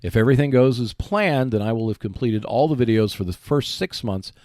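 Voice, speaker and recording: A man's voice: mid-range voice, a little fast, a little mellow, dry recording, clean studio sound. A man hosting a solo podcast, delivering prepared announcements and commentary. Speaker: podcast host.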